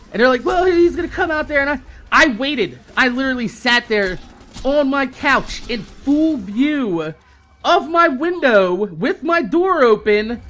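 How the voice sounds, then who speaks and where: A man in his thirties telling a casual, exasperated story with heavy swearing, in the animated manner of a gaming YouTuber. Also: very high-pitched. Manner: animated, heavily profane, exasperated.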